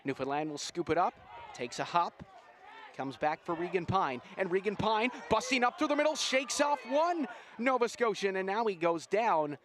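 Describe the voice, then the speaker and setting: A man doing live, excitable play-by-play commentary on a rugby sevens match. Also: high-pitched, moderate pace, slight background noise. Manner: excitable, builds to shouts at big plays.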